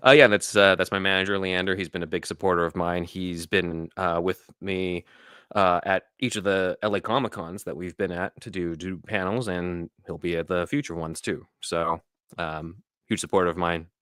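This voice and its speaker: A man with a very deep voice and a bright, forceful edge chats informally as an interview guest. The sound is close and dry, and he talks like a writer and actor discussing his craft. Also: steady tone, normal speed, clear, resonant tone, no echo. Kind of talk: casual interview chat.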